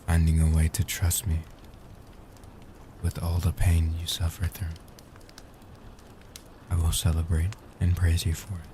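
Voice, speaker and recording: A man playing a gentle, protective ancient vampire guardian in a soft-spoken comfort audio roleplay, talking slowly and soothingly with formal, solemn phrasing. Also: completely flat delivery, background noise. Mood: tender, reassuring. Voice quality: soft, hushed, dark low voice.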